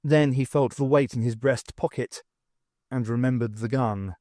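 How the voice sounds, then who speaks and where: A man's voice: mid-range voice, moderate pace, dark tone, no echo, clean studio sound. A man reading an audiobook as narrator, in a scripted storytelling style.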